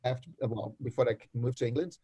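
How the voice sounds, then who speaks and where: Brazilian guy on a video call, mid-pitch voice, lively storyteller, laughs a lot, keeps restarting sentences. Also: fairly quick, muffled recording, slight background noise. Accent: Brazilian Portuguese. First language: Portuguese. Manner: animated storyteller, laughs often, many restarts. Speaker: guest. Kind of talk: casual video call chat.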